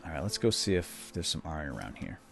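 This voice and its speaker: Deep-voiced man telling a story over gameplay. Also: fast talker, creaky, heavy background noise. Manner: rambling, many fillers, lively retelling. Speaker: let's play host. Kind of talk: casual gameplay commentary and storytelling.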